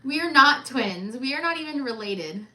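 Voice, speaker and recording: A woman's voice: high-pitched, lively intonation, normal speed, bright, forceful tone, poor audio. A woman in her thirties co-hosting a cruise livestream, chatting casually with her friend and teasing her with frequent laughter. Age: 30s.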